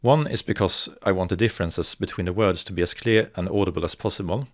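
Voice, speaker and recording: Low-voiced Swedish man, dry close narration. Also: no echo. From northern Sweden. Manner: measured, careful, slows to articulate example words. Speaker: video essayist on dialects. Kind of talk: scripted educational narration.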